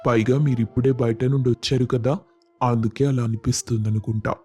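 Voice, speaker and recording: Man playing an even-toned patient family elder. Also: medium pitch, brisk, breathy edge, soft background music, lightly acted. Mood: placating. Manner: calm, conciliatory. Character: patient, placating older male head of household.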